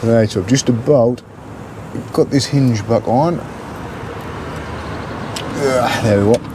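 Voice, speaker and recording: A man's voice: medium pitch, takes it slowly. A man giving a casual, matter-of-fact repair walkthrough, thinking aloud as he works. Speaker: intercom repair engineer presenting his work. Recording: loud traffic and outdoor street noise.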